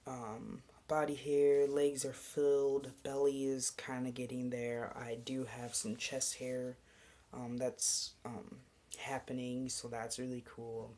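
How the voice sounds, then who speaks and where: A young man talking casually to his camera in a video diary, relaxed and rambling with lots of ums and the odd whispered aside. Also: medium pitch, slow pace. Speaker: vlogger.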